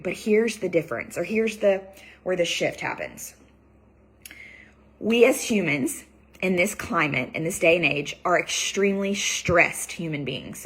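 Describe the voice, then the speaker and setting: A woman coach speaks in a lowish, husky voice, worn down by a cold that is taking her voice. She talks loosely and chattily to her clients, with plenty of ums and likes. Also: a bit bright. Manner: chatty, loose, many fillers and "like"s. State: has a cold, losing her voice. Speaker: fitness and nutrition coach. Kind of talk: casual live training talk.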